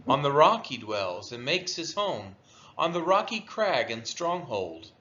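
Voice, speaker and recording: A man reads scripture aloud as a devotional, with a bright, forceful voice. His delivery is slow and highly animated in pitch, declaiming each verse with deliberate expression. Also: dull audio, a little hiss or hum. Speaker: scripture reader. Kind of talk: devotional Bible reading aloud.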